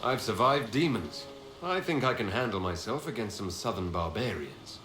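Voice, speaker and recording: An actor playing a composed, pragmatic fantasy hero and leader in a video game, with a matter-of-fact delivery. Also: male voice, lowish voice, lively intonation, normal speed, over music, close to an everyday voice. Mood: curious, confident. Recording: echoey, clearly noisy game audio.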